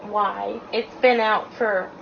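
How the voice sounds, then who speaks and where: A woman co-reviewing a movie in casual chat, animated and informal, peppering her talk with "like". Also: mid-range voice, takes it slowly, creaky, crowd noise. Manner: animated, informal, frequent "like", swearing. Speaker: guest co-reviewer. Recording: muffled lo-fi, noticeable background noise.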